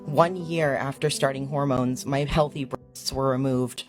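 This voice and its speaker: Woman with a deepened voice, solemn testimony. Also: fairly even intonation, measured pace, faint music behind. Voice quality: deepened voice, cannot sing or raise it. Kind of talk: read-out personal testimony.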